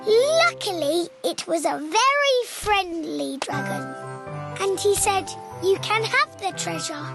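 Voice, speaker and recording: Little girl pig, British accent, very high pitch, unhurried, childlike cartoon storyteller, cheerful, bossy. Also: animated, vocal fry, full character voice. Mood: storytelling. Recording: music behind the voice. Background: children's music.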